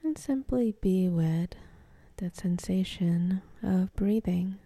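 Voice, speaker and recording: A woman leading a guided meditation in a soft, calm, half-whispered voice with long pauses between phrases. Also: medium pitch, dry recording.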